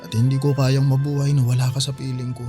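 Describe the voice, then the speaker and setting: A man's voice: medium pitch, flat delivery, fast talker, warm tone, faint music behind, close to an everyday voice. A man playing a devoted, worried young husband in a radio drama, with a pleading, emotional delivery.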